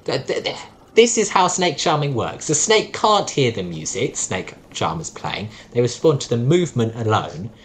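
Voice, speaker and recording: Animated sarcastic podcast co-host. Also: mid-range voice, normal speed, a little hiss or hum. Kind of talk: casual debate.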